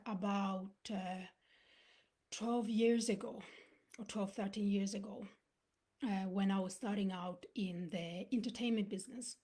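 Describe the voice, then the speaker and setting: A woman's voice: mid-range voice, darkish tone. A woman in her thirties, born in Uganda, giving an earnest motivational talk on a live stream and dropping to a whisper for emphasis. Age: 30s. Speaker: motivational speaker.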